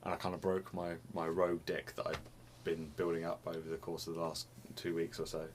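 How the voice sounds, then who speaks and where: A man's voice: deep voice, completely flat delivery, a little fast, slight background noise. A young man chatting casually to camera in a small home room, rambling and restarting his phrases, slightly sniffly. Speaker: vlogger.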